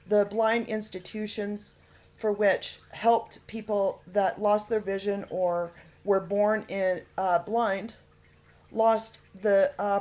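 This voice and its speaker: Halting earnest woman making an appeal. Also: mid-range voice, darkish tone. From Alberta, Canada. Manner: halting, many fillers and pauses; earnest, pleading. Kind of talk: unscripted appeal to camera.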